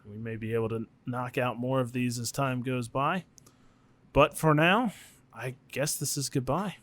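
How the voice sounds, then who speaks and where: A man's voice: mid-range voice, a little slow, fairly bright tone. A man co-hosting a podcast in an informal, musing, chatty way with the odd casual swear word. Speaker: podcast co-host.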